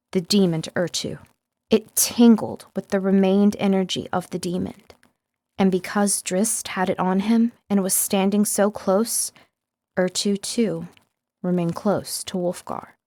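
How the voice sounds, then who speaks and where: A woman narrating audiobooks from a script in an expressive, measured storytelling way, close to the microphone. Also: mid-range voice, no room sound. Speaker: audiobook narrator, voice-over artist.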